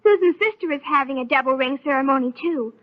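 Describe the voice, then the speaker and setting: A muffled vintage radio serial recording with a woman playing an adoring teenage girl. Her voice is high, eager and gushing, full of romantic pleading. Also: fast, natural voice. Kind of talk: 1930s radio soap opera acting.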